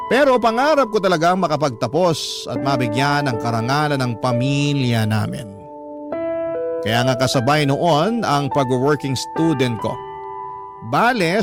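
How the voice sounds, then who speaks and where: Isang lalaking madramang nagbabasa ng liham bilang kuwento sa radyo, sa unang panauhan. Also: male voice, mid-range voice. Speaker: radio drama storyteller. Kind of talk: dramatic first-person scripted narration of a letter. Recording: music bed under the voice.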